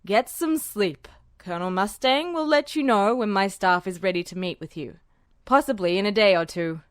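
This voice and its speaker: A woman voicing a stern, exhausted older male general in an audio story, measured and commanding yet gentle. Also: mid-range voice, clear, resonant tone, no echo, clean studio sound, pitched below the performer's own voice, close to an everyday voice. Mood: weary authority. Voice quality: weary, gentle.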